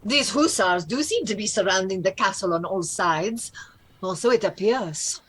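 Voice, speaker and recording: An actress playing a watchful, formal governess in a castle household in a radio play, speaking precisely and earnestly. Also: female voice, medium pitch, animated, normal speed, a bit bright, rough recording. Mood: insistent. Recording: clear background noise under the voice.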